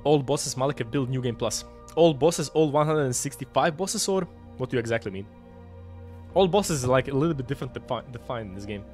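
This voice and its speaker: A young man with a Croatian accent talks through a headset while he plays, greeting chat and reacting loudly to every hit. His pitch swings widely between excited highs and muttered lows. Faint music plays under him. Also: a little fast. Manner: excitable, swears and laughs while fighting bosses. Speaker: game streamer. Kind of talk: live gameplay commentary reading chat. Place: home streaming room, indoors.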